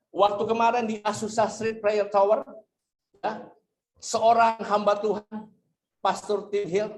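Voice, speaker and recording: High-pitched man preaching, echoey and distant. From Indonesia. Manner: exhortative, repeats phrases and calls for amens. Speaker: guest preacher, pastor. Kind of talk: charismatic church sermon. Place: church service room, before a congregation. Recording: echoey room, distant microphone.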